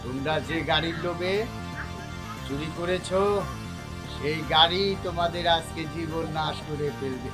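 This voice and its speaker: An actor voicing a menacing haunted car, passing judgment on thieves in slow, solemn pronouncements. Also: mid-range voice, fairly even intonation, music in the background, natural voice. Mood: menacing, accusing.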